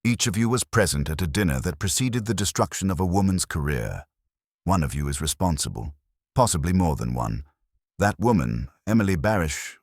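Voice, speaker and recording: Man playing stern, deep-voiced master detective. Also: no echo, studio quality. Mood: grave, commanding. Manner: formal, weighty, accusing. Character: stern, pompous male master detective, commanding and formal.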